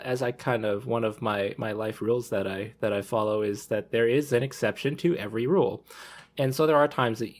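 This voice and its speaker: A man's voice: on the low side, a little mellow. A man on a podcast panel chatting casually, tentatively thinking aloud as he goes.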